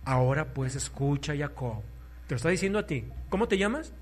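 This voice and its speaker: Fervent preacher, fairly high voice. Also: male voice, animated, fairly quick, a little hiss or hum. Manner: fervent, swings between soft and loud, exhorts listeners to repeat after him. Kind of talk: sermon.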